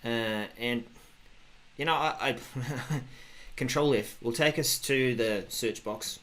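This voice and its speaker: A man in his forties co-hosting a tech news show, talking casually in a halting, conversational way. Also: medium pitch, fairly bright tone. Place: home office, video call.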